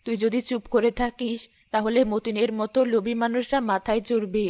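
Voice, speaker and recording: A woman's voice: a little high, flat delivery, brisk, mellow, dry recording, lightly acted. A woman voicing the firm, wise mother of the village leader in a cartoon, speaking in a commanding way. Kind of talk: cartoon voice acting.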